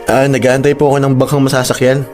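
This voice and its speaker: A man's voice: medium pitch, little pitch movement, fast talker, darkish tone, close to an everyday voice. An actor playing a polite, hesitant young male employee in a Filipino radio drama, deferential and earnest. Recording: music under the voice. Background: background music.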